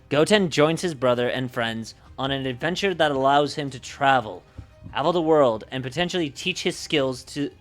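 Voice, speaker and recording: Male streamer, mid-pitched, halting delivery with repeated words, narrating campaign notes over background music. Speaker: livestreamer and game master brainstorming a campaign. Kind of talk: reading backstory summaries and chatting to stream. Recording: music playing behind the voice.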